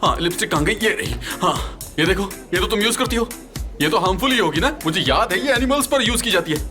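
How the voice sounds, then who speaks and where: A man playing an anxious, excitable young office employee in a dubbed TV drama, agitated and repeating questions insistently. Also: high-pitched, fast, music in the background. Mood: flustered panic.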